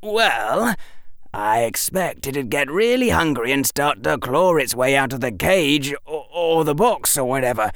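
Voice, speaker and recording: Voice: animated, measured pace, dry recording, studio quality. A performer voicing a precocious, indignant young boy, emphatic and fiercely defensive. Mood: anxious musing. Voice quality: shaky, climbing toward shrill. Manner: emphatic, articulate, argumentative.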